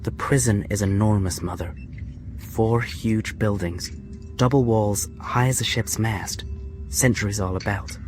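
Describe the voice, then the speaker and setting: An actor playing a worried young son in prison in a radio drama, speaking earnestly and somberly. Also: male voice, fairly low pitch, a little slow, a little mellow, soft background music, lightly acted. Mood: somber, reflective. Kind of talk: radio drama acting with letter narration. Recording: clear ambient noise behind the voice.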